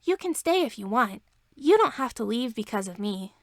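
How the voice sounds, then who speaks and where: A woman's voice: on the high side, sing-song, normal speed, no room sound. A woman voicing a blunt, socially awkward princess inventor in a storybook reading. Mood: downcast.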